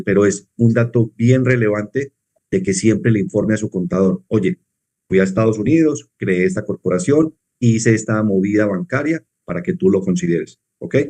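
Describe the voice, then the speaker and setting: Man calmly explaining tax rules. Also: on the low side. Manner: measured, poses and answers his own questions. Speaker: tax advisor. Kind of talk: explanatory conversation.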